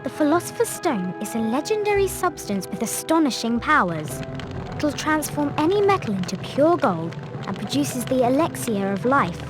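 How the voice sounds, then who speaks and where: Know-it-all young witch: high, very animated, precise, music clearly behind. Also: normal speed. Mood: lecturing. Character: bookish, bossy young schoolgirl witch. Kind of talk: video game cutscene acting.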